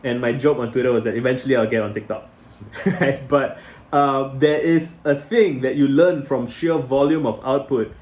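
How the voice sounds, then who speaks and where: A man's voice: mid-range voice, fairly even intonation, normal speed, a little mellow. A man with a Singaporean accent, in his thirties, talking casually and rambling to camera, often restarting his sentences. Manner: rambling, self-correcting, conversational. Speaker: video blogger. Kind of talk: casual talking-head vlog.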